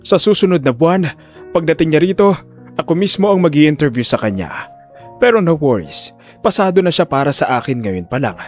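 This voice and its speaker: A man's voice: a little high, big pitch swings, fairly quick, faint music behind. A man voicing a confident, calculating hospital director in his sixties in an audio drama. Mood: assured. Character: scheming, self-assured sixty-year-old male hospital director. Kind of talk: Filipino audio drama acting.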